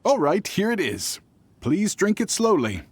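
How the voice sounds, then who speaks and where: An actor playing a jovial, coaxing older drink vendor in a video game, with a husky voice and a hearty, theatrical manner. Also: male voice, high-pitched, wildly swooping pitch, measured pace, no echo, full character voice.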